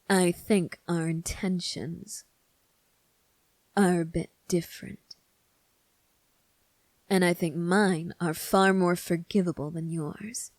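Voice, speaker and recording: Young prince, played by a woman for ASMR roleplay: teasing, smug, quietly in command, very unhurried delivery in a fairly low register. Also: no echo. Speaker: voice actor. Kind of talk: scripted ASMR roleplay monologue.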